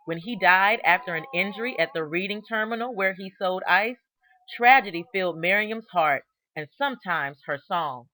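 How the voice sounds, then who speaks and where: A woman narrates a children's storybook with a bright, forward voice at a medium pitch. Her delivery is deliberate and expressive, leaning on important words as a read-aloud storyteller does. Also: a little hiss or hum, poor audio. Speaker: storybook reader.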